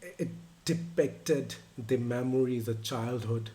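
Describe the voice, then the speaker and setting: Halting male reaction-video host. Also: mid-range voice, dark tone. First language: Urdu. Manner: halting, thinks aloud with many fillers and restarts. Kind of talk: casual music review chat.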